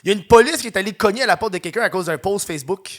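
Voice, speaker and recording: A young adult man with a Quebec French accent hosts a live comedy podcast. He chats casually, laughs often and acts out quotes in loud mock voices. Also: high-pitched, rapid-fire. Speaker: podcast host. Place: venue with a live audience.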